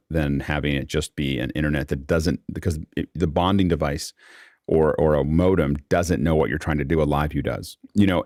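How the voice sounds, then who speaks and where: A man's voice: a bass voice, darkish tone, dry recording, studio quality. A man hosting an online panel, explaining technical things casually in a rambling way with repeated phrase openings. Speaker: host of an online panel discussion.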